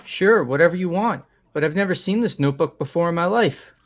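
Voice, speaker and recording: A man playing an excitable, bewildered young man in a fan-voiced game. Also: on the high side, brisk, faint music behind. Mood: puzzled. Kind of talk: fan dub of a visual novel game.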